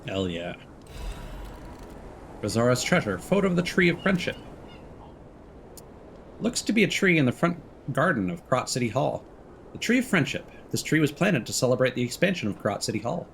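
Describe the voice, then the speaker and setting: A man's voice: mid-range voice, big pitch swings, normal speed, creaky, pauses often, a bit bright, slight background noise. A man livestreaming a video game, chatting informally with viewers in a laid-back, friendly way. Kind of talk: casual let's play commentary, chatting with viewers.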